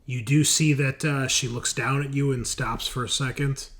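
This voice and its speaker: A man's voice: medium pitch, fairly even intonation, normal speed, fairly bright tone. A man narrating a tabletop roleplaying game as game master in a casual, conversational way.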